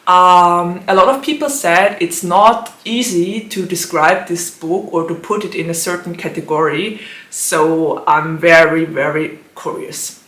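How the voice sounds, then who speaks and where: A woman with a slightly raspy, hoarse voice, chatting casually about books in her vlog and giggling often between phrases. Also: fairly low pitch, fairly even intonation, a little slow, a bit bright. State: hoarse from allergies. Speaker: book vlogger. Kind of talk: casual book vlog chat. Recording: echoey room.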